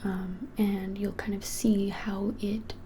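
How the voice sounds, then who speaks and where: A woman in her twenties whispering softly and without hurry in an ASMR roleplay as a shop keeper, often hesitating. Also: medium pitch, level tone, a little hiss or hum. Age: 20s. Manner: soft whispering, hesitant and unhurried. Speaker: ASMR creator playing a witch shop keeper. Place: small plain-walled room, indoors.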